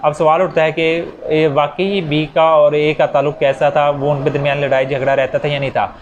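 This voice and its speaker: A man with a Pakistani accent giving an explanatory law lecture with examples, mixing in English legal terms that he reads out slowly. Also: fairly high pitch, flat delivery, highs cut off. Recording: clear background noise.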